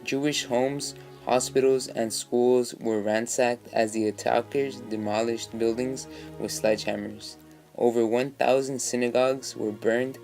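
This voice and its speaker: Flat-toned male documentary narrator over soft music. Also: mid-range voice.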